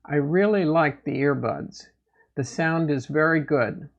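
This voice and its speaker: Male gear reviewer, unhurried with vocal fry, plain and measured narration, somewhat muffled lo-fi sound. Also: on the high side. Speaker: host of a motorcycle gear review channel. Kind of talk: product update narration.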